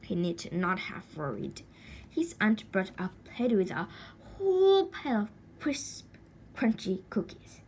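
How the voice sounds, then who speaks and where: A woman reading a children's story aloud expressively, with deliberate dramatic pauses and stretched words. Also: medium pitch, sing-song, darkish tone. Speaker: storybook reader. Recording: faint background noise.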